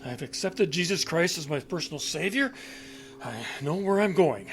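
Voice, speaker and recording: A forgetful old fella in a radio play, folksy and stubborn, stammers, bit of background noise. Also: male voice, a little high, lively intonation, moderate pace. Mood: defensive. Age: elderly. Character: forgetful, stubborn, folksy elderly widowed-sounding father. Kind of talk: audio drama acting.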